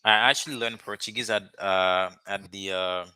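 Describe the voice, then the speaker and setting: A man from Haiti whose first language is Haitian Creole talks to his live-stream viewers in a loose, rambling way, full of ums and restarts. His voice sits low but sounds sharp and bright. The sound is close and dry, with no room echo. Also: fairly even intonation, normal speed, smooth. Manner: rambling, many fillers and restarts, chatty with viewers. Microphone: webcam or phone mic, speaking to camera.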